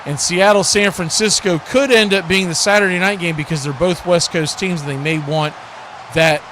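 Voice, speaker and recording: Higher-voiced man calling football over background noise. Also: fairly even intonation, moderate pace, fairly bright tone. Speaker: play-by-play host. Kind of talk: live football watch-party commentary.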